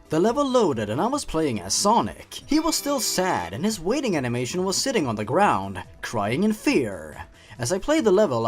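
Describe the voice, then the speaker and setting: A man reading stories aloud and commenting on them in a sarcastic, mock-serious, theatrical way. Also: fairly high pitch. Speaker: YouTube creepypasta reader and commentator. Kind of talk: scripted commentary and dramatic story reading.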